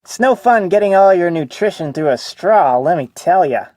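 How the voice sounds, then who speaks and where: A woman's voice: fairly low pitch, animated, moderate pace, dark tone, dry recording. A woman voicing a grumbling, sarcastic costumed film-set performer with a wry, complaining manner.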